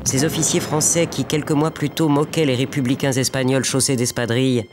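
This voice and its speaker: A man delivering scripted documentary narration in a measured, solemn way. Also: mid-range voice, flat delivery, background noise. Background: music underneath.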